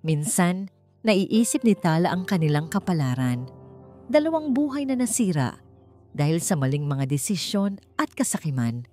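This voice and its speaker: Female story narrator, slightly low register, dramatic scripted romance read, soft music bed. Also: very animated, moderate pace. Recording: music under the voice. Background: background music.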